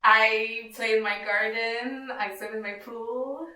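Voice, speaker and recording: Bright-voiced woman in an echoey room. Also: a little high, fairly even intonation, slow. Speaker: office employee being interviewed. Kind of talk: short interview answers.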